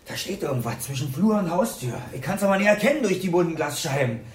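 An actor playing a practical, firm police officer in a radio crime drama, speaking in clipped phrases. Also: male voice, on the high side, lively intonation, fast, a little hiss or hum, lightly acted. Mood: alert.

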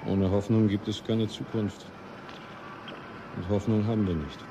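Hopeless survivor, deep voice, 80s radio drama, really noisy behind him. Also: male voice, fairly even intonation, a little slow. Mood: bleak, resigned. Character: hopeless male survivor waiting for death. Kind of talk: 1980s radio drama acting. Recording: very noisy radio play soundscape.

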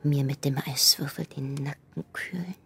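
A sultry cheeky gangland gal, lowish voice, radio play, bit of background noise. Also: female voice, fairly even intonation, moderate pace, vocal fry. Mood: seductive, flirty. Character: seductive, brazen woman of the criminal underworld. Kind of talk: German radio drama acting.

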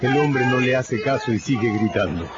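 A man narrating a radio drama in a measured, solemn, dramatic scripted style. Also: mid-range voice, heavy background noise. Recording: dull recording, loud music and crowd behind.

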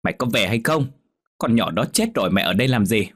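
A man's voice: on the low side, expressive, fast, fairly bright tone, pitched above the performer's own voice. A man voicing a cruel older mother who scolds harshly. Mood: scolding.

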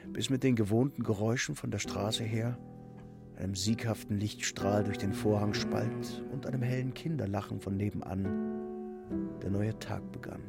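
Gravelly, low-pitched male narrator. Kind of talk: literary narration in a radio play.

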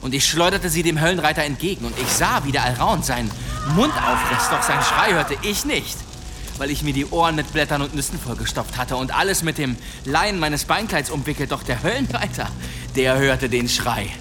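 A man's voice: fairly high pitch, animated, a little fast, background noise, lightly acted. An actor playing a boastful medieval demon hunter, telling his tales in a courtly, suspenseful manner in a German radio drama. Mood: dramatic, gripping. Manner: theatrical, suspenseful, courtly delivery.

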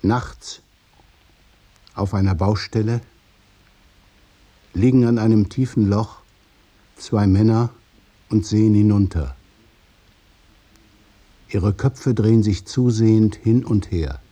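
A man narrating a scripted literary radio play in a deliberate, measured storytelling manner. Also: lowish voice, dark tone, no room sound.

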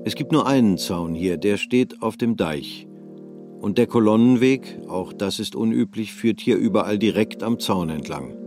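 Narrator, male, low, measured literary read, dry booth, faint music. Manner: measured, even storytelling.

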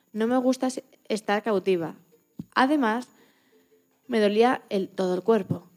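A woman of middling pitch reads a first-person story aloud. Her reading is slightly halting, catching now and then on a word. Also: a little mellow.

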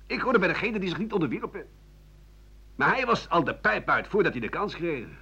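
An actor playing a bitter old French doctor-writer in a radio play, rambling through a sardonic, coarse, self-justifying monologue in a husky voice. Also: male voice, high voice, sing-song, brisk, sharp tone, exaggerated voice. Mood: defensive, indignant. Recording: dull lo-fi 1980 radio recording.

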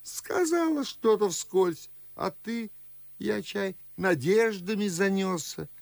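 An actor playing a blustering, fussy old gentleman and father in a verse radio drama, grumbling and exclaiming. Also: sits high, lively intonation, takes it slowly, vocal fry, no echo, full character voice. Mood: suspicious, fussy. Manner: grumbling, exclamatory, swooping delivery. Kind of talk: Russian classical verse radio drama acting.

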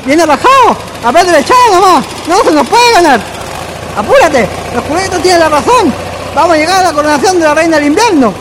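A nosy, eager Chilean passenger as an actress plays her in a radio play. She is very high-pitched and fast, slangy and insistent. Clear engine noise runs behind her. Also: animated. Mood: urgent. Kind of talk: radio drama acting.

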